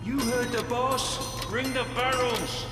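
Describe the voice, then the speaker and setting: A man's voice: extremely high pitch, level tone, takes it slowly. An actor playing an anxious, obedient henchman in a video game. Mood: urgent. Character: anxious male henchman obeying his boss. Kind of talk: dramatic video game acting. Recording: echoey hall, music behind.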